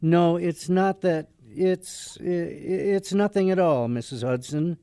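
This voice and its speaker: A man playing a polite, soft-hearted debt collector in a radio drama, reluctant, hesitant and earnest. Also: high voice, slow, smooth, dry recording, clean studio sound. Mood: uneasy, polite.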